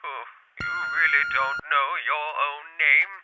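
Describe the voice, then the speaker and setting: A man's voice: a little high, steady tone, takes it slowly, heavy background noise. A man voicing a bossy stuffed owl who is an impatient, superior know-it-all in a comic audio drama. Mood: exasperated. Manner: exasperated, superior, commanding.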